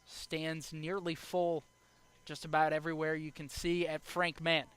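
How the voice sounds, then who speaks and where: A young adult man doing live baseball play-by-play as the announcer, speaking in short clipped phrases with measured pauses. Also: fairly high pitch, level tone, a bit bright, smooth. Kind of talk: live sports play-by-play commentary.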